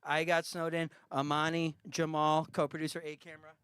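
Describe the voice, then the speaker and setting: A man hosting a comedic live talk show from a garage, rambling through deadpan jokes with plenty of fillers. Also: on the high side, a little fast, smooth, dry recording, studio quality. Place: home garage studio.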